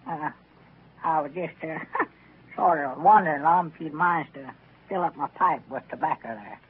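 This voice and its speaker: An actor playing a whiny, sheepish old country fellow with a rural Southern US accent and a hesitant, folksy manner, in 1930s radio comedy style. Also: male voice, a little high, normal speed, husky. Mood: sheepish wheedling. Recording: tinny, narrow-band 1930s radio recording.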